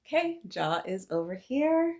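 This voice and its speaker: A woman's voice: medium pitch, big pitch swings, measured pace, darkish tone. A woman hosting storytime, chatting interactively with young children in an encouraging, playful manner.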